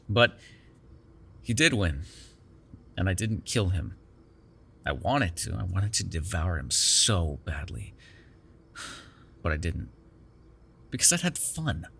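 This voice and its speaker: A man's voice: on the low side, creaky, pushed and bright, husky, heavily acted. A man playing a chatty, defensive vampire captor in an intimate ASMR roleplay, rambling casually with plenty of swearing. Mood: hungry, intense, then fond, amused. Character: chatty, defensive, self-deprecating male vampire captor with a soft spot.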